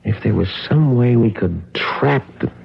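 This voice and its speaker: An actor playing a cornered, stammering impostor in a vintage radio drama. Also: male voice, medium pitch, animated, a little slow, vocal fry, husky, full character voice. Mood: wary. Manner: hesitant, stammering. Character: cornered male impostor hiding behind a dead man's identity. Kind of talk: 1970s radio drama acting. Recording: muffled old radio broadcast.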